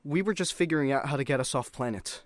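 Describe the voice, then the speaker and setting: A man's voice: medium pitch, expressive, fast, no room sound, close to an everyday voice. Easygoing, candid crewmate, played by a man. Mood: tactful. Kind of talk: audio drama acting.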